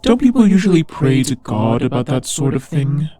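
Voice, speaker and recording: Husky, mellow demon ruler, acted by a man. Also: medium pitch, big pitch swings, moderate pace. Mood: curious, gracious. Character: teasing, sardonic demon ruler of hell. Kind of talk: scripted roleplay audio drama monologue.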